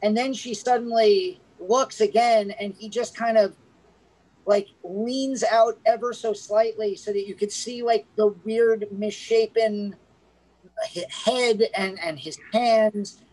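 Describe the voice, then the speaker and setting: A woman's voice: mid-range voice, fairly even intonation, slow, darkish tone, poor audio. Chatty, enthusiastic woman reviewer. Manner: chatty and enthusiastic, thinking aloud. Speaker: podcast co-host. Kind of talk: casual movie review chat.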